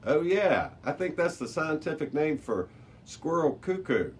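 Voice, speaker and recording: A man's voice: fairly high pitch, very animated, a little slow, voice pushed up. A man voicing a clever, level-headed young girl in a children's storybook, explaining things. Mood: curious. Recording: noisy amateur recording with background hiss.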